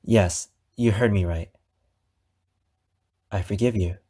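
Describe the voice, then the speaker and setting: Man acting a slow, possessive, lovesick captor. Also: on the low side, mellow, breathy edge. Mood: calm, coaxing. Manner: slow, deliberate, dramatic pauses. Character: obsessive lovesick male captor, wounded, possessive, menacing. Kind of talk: ASMR roleplay monologue addressed to the listener.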